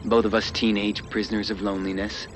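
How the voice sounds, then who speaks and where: Game villain-suitor male actor, lowish voice, polished and self-assured, quietly menacing courtesy, music underneath. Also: level tone, lightly acted, poor audio. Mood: smug persuasion. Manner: formal, measured, condescending, self-assured. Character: smooth, entitled male suitor with menacing politeness, powerful official. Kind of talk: video game cutscene acting.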